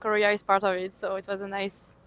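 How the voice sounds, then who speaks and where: A woman in her twenties from France giving calm, matter-of-fact answers in a street interview. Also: mid-range voice, fairly quick, clear, resonant tone. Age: 20s. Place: outdoors on a street.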